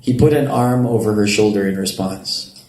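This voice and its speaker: A man's voice: on the low side, moderate pace, darkish tone. Man reading romance fiction in an echoey venue. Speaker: narrator reading a novel excerpt. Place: roomy event venue at a public reading. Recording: echoey live venue, audible background noise.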